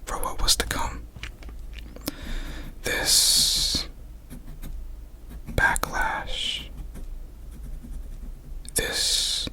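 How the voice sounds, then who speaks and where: A young man whispering ASMR rambles and improvised stories in a hushed, playful way with drawn-out phrasing. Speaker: ASMR video creator.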